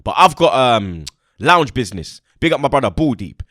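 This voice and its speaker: A man's voice: medium pitch, big pitch swings, moderate pace, sharp tone, no echo, studio quality. A young man with a London accent, hosting a podcast and telling a casual, slangy story. He acts out the lines of the people in it. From England. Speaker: podcast host.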